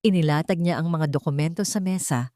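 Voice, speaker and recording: A woman's voice: sits low, fairly quick, mellow, no room sound, clean studio sound. A woman with a Filipino accent reading a scripted story as a dramatic narrator.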